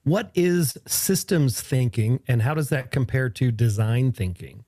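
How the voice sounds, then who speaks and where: A man's voice: medium pitch, lively intonation, a little slow, dark tone, dry recording, clean studio sound. A man co-hosting a casual podcast, with a jokey, playful manner of banter. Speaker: podcast co-host. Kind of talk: casual chat.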